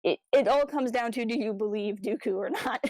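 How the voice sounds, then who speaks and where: A woman's voice: fairly high pitch, moderate pace, darkish tone, no echo. Woman podcaster, dry close recording. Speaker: podcast co-host. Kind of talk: casual discussion of a fan audio drama.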